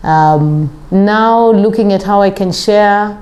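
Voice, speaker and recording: A middle-aged Kenyan woman, a travel coach, talking casually in an interview with thoughtful pauses. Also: medium pitch, a little mellow. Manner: thoughtful, with many pauses and fillers.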